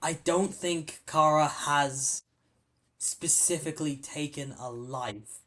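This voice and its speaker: A man hosting a film podcast, talking casually in a rambling, halting way with many restarts. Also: fairly high pitch, fairly bright tone. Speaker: podcast host. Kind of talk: casual film review chat.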